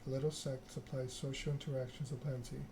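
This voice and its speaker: Man, ASMR game narration, little pitch movement, mid-pitched voice, stumbles on words, clear background noise. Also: flat delivery, fairly quick. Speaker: gaming channel host.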